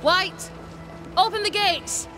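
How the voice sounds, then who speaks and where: A woman's voice: extremely high pitch, slow, pushed and bright, soft background music, heavily acted. An actress playing a weary, defiant woman soldier with a terse, commanding manner in a video game. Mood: shouting orders. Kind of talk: dramatic video game acting.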